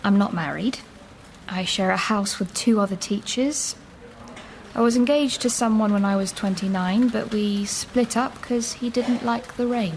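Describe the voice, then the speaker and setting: An actress voicing a self-doubting woman teacher, apologetic, soft-spoken, mid-pitched, radio drama. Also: unhurried, slight background noise, close to an everyday voice. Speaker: English teacher.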